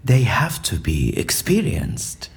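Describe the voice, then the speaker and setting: An actor playing a cunning captive man in an audio drama, speaking in a deliberate, mysterious, theatrical manner. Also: mid-range voice, huge pitch swings, vocal fry, heavily acted. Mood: bargaining, assured.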